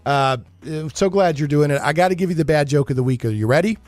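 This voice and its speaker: A man's voice: on the high side, fast, a little mellow, dry recording. A man hosting a casual live radio interview in a chatty, enthusiastic way. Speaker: radio show host.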